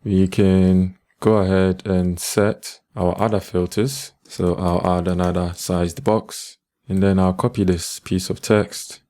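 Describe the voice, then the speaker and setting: A man giving a step-by-step coding tutorial as an instructor, calm, matter-of-fact and methodical. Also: sits low, slow, few pauses.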